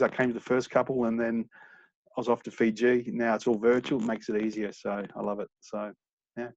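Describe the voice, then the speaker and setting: A man introducing himself as an entrepreneur in an online meeting, chatty and relaxed with fillers, heard over dull, lo-fi call audio. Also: mid-range voice, no room sound. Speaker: entrepreneur and former lecturer.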